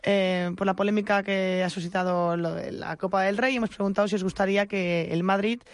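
A man with a very high-pitched voice chats casually as a sports radio contributor. The sound is very dry and close, like a studio. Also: steady tone, fairly quick, dry recording. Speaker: radio show contributor reading listener messages.

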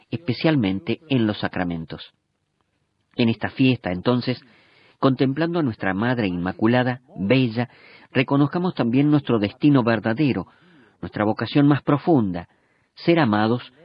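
Male voice-over interpreter, husky, measured, dull lo-fi sound. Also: mid-range voice. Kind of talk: read translation of a homily.

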